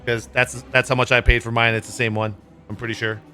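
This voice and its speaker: A man with a bright, forceful voice commentates casually on a gaming livestream. He is blunt and opinionated and swears freely. Faint music plays behind him. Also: mid-range voice, level tone, fast talker. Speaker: livestream host.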